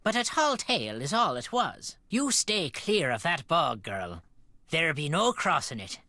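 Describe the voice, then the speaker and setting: Portentous old Irish village woman, swooping low voice. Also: very animated, measured pace, bright, forceful tone, dry recording, heavily acted. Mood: warning, scornful. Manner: folksy, portentous storytelling. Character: old doom-saying Irish village woman, blunt and cryptic. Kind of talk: video game character dialogue.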